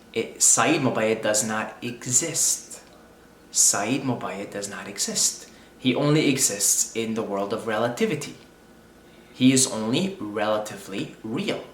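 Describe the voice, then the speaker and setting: A man's voice: mid-range voice, a bit bright. Musing man in an echoey room. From Syria. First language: Arabic. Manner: musing, repeats phrases, thinks aloud. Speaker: video creator speaking on spirituality. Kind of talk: reflective spiritual monologue.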